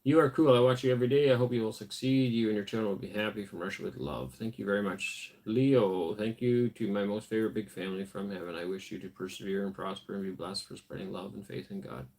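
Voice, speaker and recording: Tired lower-voiced man on a livestream. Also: fast talker, hardly any pauses. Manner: relaxed, thinking aloud with fillers. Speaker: homestead livestream host. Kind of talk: casual livestream chat, reading viewer comments.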